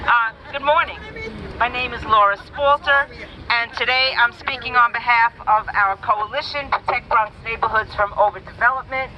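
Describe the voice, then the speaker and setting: A woman's voice: on the high side, fairly even intonation, normal speed, dull audio, crowd noise, background noise. A woman speaking at an outdoor protest rally, reading a prepared statement for her community coalition in a firm, declarative way. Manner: firm, declarative, builds lists to a rallying call.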